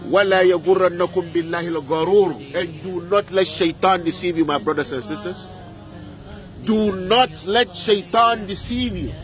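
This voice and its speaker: A man's voice: high voice, fairly bright tone. A man preaching a sermon at a graveyard, repeating lines and putting questions to his listeners, with Arabic verses in between. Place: outdoor graveyard.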